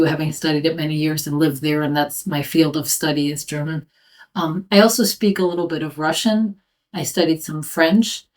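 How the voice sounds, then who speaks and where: A woman's voice: sits low, far from the mic. A woman in her fifties, a professor, answering interview questions thoughtfully with frequent ums and restarts. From United States. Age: 50s.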